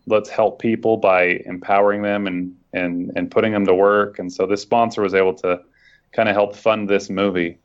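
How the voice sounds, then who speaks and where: A man's voice: lowish voice, flat delivery, moderate pace. A man being interviewed, talking earnestly and thoughtfully in a casual conversation. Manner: earnest, reflective storytelling. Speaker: guest, online scam-baiter. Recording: dull lo-fi audio.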